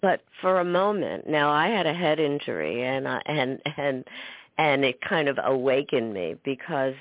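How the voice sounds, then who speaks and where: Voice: mid-range voice, lively intonation, normal speed, dry recording. Enthusiastic podcast host on a thin line. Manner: warm, enthusiastic, repeats words. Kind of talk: podcast interview conversation. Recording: tinny phone-like line, dry.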